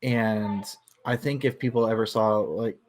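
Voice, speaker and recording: A man hosting a casual book discussion, thinking aloud in a halting way and circling back over his phrases. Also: fairly low pitch, fairly even intonation, a little mellow. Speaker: book club discussion host.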